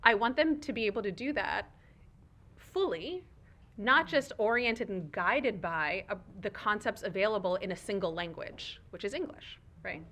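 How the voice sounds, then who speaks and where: A woman whose first language is Urdu speaks at a live fireside chat, her voice bright and clear. She talks in a thoughtful, expansive way, often tagging her points with "right?". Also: mid-range voice, expressive, normal speed. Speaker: guest speaker, foundation leader. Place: event room with audience.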